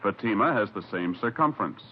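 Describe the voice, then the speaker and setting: A man's voice: lowish voice, expressive, a little fast. A man reading a 1950s radio commercial as an announcer, in an emphatic, salesmanlike manner. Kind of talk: 1950s radio cigarette ad read. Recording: dull vintage radio recording.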